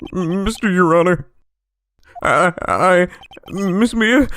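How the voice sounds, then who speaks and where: A man's voice: sits high, slow pace, fairly bright tone, slight background noise. A man voicing a nervous, bumbling, whiny witness in a video game dub, stammering and flustered as he talks. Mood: panicked, flustered. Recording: music under the voice.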